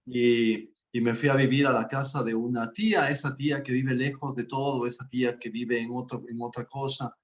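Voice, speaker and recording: A man's voice: medium pitch, fairly even intonation, normal speed. A man, a guest coach speaking in an earnest, enthusiastic way in a casual interview. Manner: warm and earnest, speaks with enthusiasm about faith.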